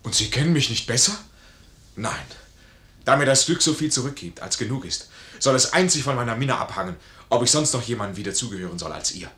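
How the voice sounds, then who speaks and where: An actor playing a proud, ardent army major in a 1950s German radio play, with earnest, formal stage diction. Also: male voice, medium pitch, lively intonation, brisk, a bit bright, natural voice. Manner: earnest, theatrical, formal classical phrasing. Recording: noisy 1950s radio recording.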